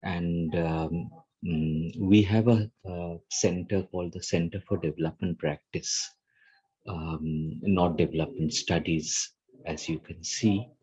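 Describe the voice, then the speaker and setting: A man's voice: low voice, fairly even intonation, slow, a little mellow, breathy edge. A man, a university teacher, introducing himself on a video call with many hesitations. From India. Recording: muffled video call audio.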